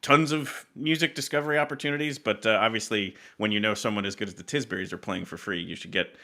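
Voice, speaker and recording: A man hosting a casual music interview, appreciative and somewhat halting as he promotes shows and events. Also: lowish voice, fairly even intonation, sharp tone. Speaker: podcast host.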